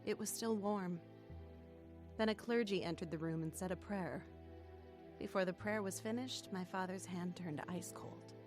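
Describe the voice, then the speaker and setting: A woman's voice: on the low side, moderate pace, music in the background, close to an everyday voice. An actress playing an earnest young woman who reflectively narrates her testimony in a radio drama.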